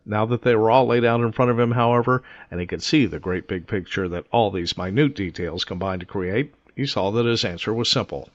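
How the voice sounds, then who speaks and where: A man narrating a scripted space adventure in a measured, dryly wry manner. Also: fairly low pitch, no echo, close to an everyday voice. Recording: dull, close dry track under music. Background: music.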